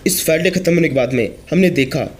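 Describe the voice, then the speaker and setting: A hoarse man reading the words of a wounded male soldier reporting a defeat. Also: on the high side, fast. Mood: despairing.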